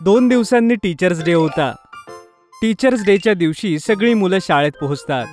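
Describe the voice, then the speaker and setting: A woman's voice: fairly low pitch, expressive, normal speed, a little mellow. A woman narrator reading a scripted children's story. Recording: music behind the voice.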